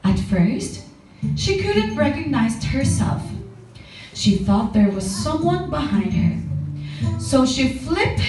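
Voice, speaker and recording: A woman's voice: sits high, big pitch swings, takes it slowly, a little mellow. A woman narrating a scripted children's story for a puppet show. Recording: very echoey, distant mic, music behind.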